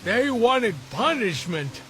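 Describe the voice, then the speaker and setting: A man voicing a small, goofy, scheming creature in an animated film, chatty and wheedling with an excitable manner. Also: extremely high pitch, takes it slowly, music in the background, heavily acted. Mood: flattering.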